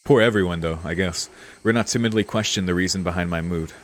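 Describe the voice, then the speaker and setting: A man with a low-pitched voice works through a story game as a let's player. He reads narration aloud and muses on it casually, in a laid-back way. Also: quick pace. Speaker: let's-play streamer. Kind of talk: reading game text aloud with casual commentary.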